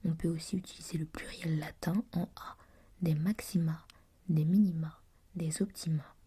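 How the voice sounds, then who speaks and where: A woman whispering as she reads aloud from a language reference book, softly musing and adding her own comments. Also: low voice, fairly even intonation, slightly rough. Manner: whispering throughout; soft and musing, pausing to comment. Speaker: reader.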